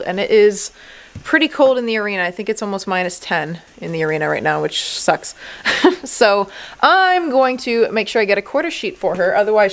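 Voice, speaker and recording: A woman in her twenties with a Canadian accent, chatting casually to camera with loose, hesitant phrasing. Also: medium pitch. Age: 20s. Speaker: vlogger and horse rider. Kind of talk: casual vlog chat to camera. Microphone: camera held at arm's length or set on dashboard.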